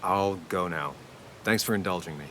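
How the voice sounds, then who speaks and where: Low-voiced, terse investigator. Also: male voice, normal speed, fairly bright tone, slight background noise. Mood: guarded, probing. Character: dogged male ex-reporter investigator, reserved and blunt. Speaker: player-character investigator, former reporter. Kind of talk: video game dialogue and inner monologue.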